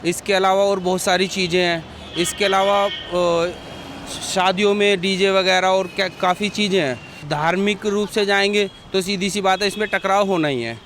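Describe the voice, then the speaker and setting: A man's voice: sits high, steady tone, moderate pace, a bit bright. A man answering a reporter on the street, arguing his view point by point. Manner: argumentative, reasons point by point. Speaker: passerby giving opinion. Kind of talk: street interview. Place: outdoors by a busy street. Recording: faint traffic noise.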